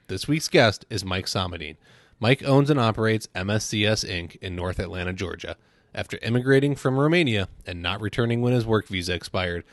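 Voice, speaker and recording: A man's voice: lowish voice, no echo. An American man speaking as a podcast host, introducing and interviewing.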